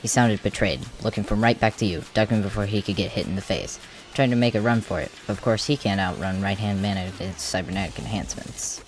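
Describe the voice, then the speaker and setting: An expressive storyteller narrating scripted action for an amateur comic dub. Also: low voice, brisk. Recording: music under the voice.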